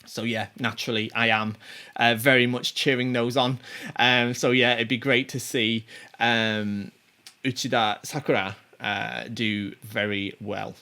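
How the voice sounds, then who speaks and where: A man in his forties talks loosely through a list of names as a show host, his voice at an ordinary middle pitch. He rambles, circles back with plenty of ums and false starts, and chuckles to himself now and then. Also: little pitch movement, unhurried, pushed and bright, clean tone. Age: 40s. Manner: rambling, many ums and restarts. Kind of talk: casual commentary on tournament players.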